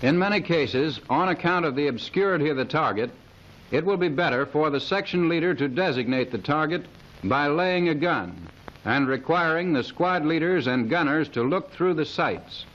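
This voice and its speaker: A man's voice: fairly high pitch, expressive, normal speed, fairly bright tone. A man reading scripted military instructional narration in a formal, precise manner, in the style of a 1930s training film. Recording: dull, hissy 1930s film soundtrack.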